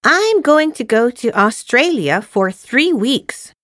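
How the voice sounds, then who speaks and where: A woman's voice: fairly high pitch, very animated, takes it slowly, a bit bright, no room sound, studio quality, heavily acted. A woman acting a cheerful, eager student girl in a scripted listening-test dialogue, enunciating carefully like a textbook.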